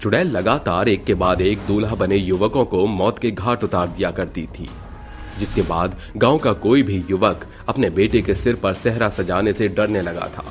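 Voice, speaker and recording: Low-voiced male horror narrator. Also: moderate pace, faint music behind. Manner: dramatic storytelling. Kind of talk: scripted cartoon horror narration.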